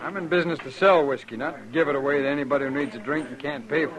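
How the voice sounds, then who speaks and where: An actor playing a tired, gruff American saloon barkeeper in a 1950s radio western, dry and grumbling. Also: male voice, on the high side, very fast, lightly acted, poor audio. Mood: weary, grumbling. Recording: old radio recording with crowd din.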